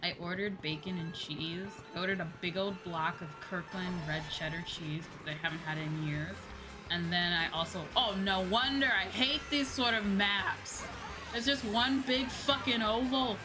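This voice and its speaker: A woman chatting casually as a livestream host while gaming, expressive and free with swearing. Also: mid-range voice, a little slow, rough recording. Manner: expressive, swears freely, mock-grumbling asides. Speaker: livestream host, virtual streamer. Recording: game music behind the voice. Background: video game music.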